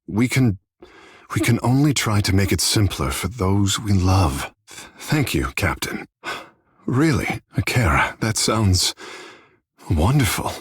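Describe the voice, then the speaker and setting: An actor playing a flirtatious, theatrical godlike fish-man in a video game, with florid, teasing formality, dramatic pauses and tenderness beneath the bravado. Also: on the low side, lively intonation, breathy edge, no room sound, studio quality, exaggerated voice. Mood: vulnerable, yearning, then tender, playful. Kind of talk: video game character dialogue.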